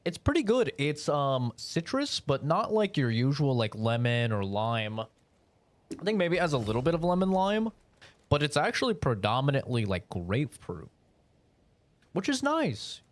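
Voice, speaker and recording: A man's voice: a little high, very animated, a little slow, dry recording. Laid-back young man streaming a game. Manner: rambling, laid-back, swears casually. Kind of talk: casual live-stream chat while gaming.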